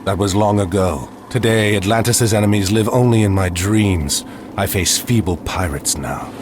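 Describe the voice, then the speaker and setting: An actor playing a proud, battle-weary admiral hero in a mythological video game, firm, dignified and commanding. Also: male voice, fairly low pitch, moderate pace, background noise, close to an everyday voice. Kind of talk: video game cutscene acting.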